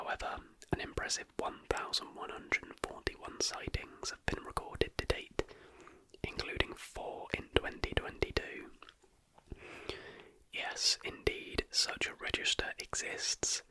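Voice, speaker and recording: An ASMR host reads a scripted narration entirely in a whisper. The sound is bright and airy, with no full voice at all. The delivery stays soft throughout. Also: rough recording.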